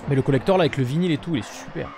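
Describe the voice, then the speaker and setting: A man's voice: on the high side, racing. A young man chatting casually on a live stream from his room, rambling with lots of fillers. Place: home room with webcam. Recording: noisy stream with game sound.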